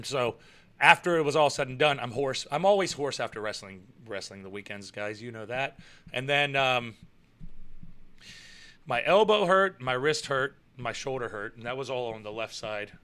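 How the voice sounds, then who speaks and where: A man's voice: medium pitch, pushed and bright, clean tone. A young man hosting a casual live stream, reading out viewer comments and rambling about wrestling, repeating himself and chuckling often. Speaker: live show host and pro wrestler.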